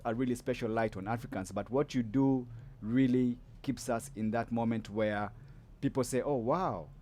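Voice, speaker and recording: A man's voice: mid-range voice, normal speed, mellow. A man hosting a podcast, chatting casually with a guest, loose and rambling with lots of fillers and restarts. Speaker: podcast host. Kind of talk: casual interview chat.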